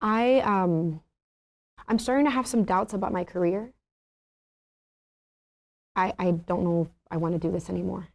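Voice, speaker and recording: A woman's voice: on the low side, normal speed, pauses often, darkish tone, natural voice. A woman at a comedy table read playing a hesitant, wistful daughter in her early twenties. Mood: hesitant, low-key. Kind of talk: table reading of a comedy script.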